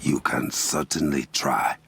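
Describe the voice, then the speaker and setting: A man's voice: very deep voice, fairly even intonation, slow, creaky, a bit bright, a little hiss or hum, full character voice. An actor playing a resolute, protective young warrior king in a video game, with an African accent and a formal, commanding manner. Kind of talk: video game cutscene acting.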